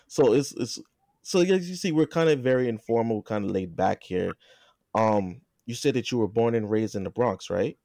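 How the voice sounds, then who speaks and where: A man hosts a laid-back podcast in a fairly low voice. The sound is dry and close. He trips over words, repeats them and corrects himself as he asks questions. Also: moderate pace, gravelly, dark tone, dry recording. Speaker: podcast host. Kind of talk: casual podcast interview.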